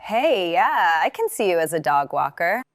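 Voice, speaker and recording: Woman acting lowish slow voice, playful affectionate shopkeeper gal who teases and gossips, super dry close sound. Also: very animated, dry recording. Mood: playful, supportive. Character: friendly, teasing small-town shopkeeper woman, gossipy and affectionate. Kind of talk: naturalistic audio drama dialogue.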